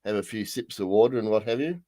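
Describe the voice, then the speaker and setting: A male pastor with a croaky voice leading a livestreamed Bible study, halting with many ums and restarts. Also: on the low side, warm tone.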